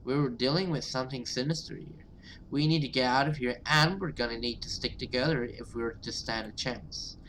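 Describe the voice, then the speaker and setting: A man's voice: medium pitch, normal speed, a bit bright, a little hiss or hum, natural voice, low-quality recording. A man voicing an urgent, protective, defiant survivor in a horror visual novel. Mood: urgent, determined.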